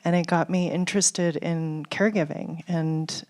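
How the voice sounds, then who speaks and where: A woman speaking on a festival panel as a caregiving advocate, measured and addressing the audience. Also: fairly low pitch, steady tone, darkish tone. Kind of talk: panel talk.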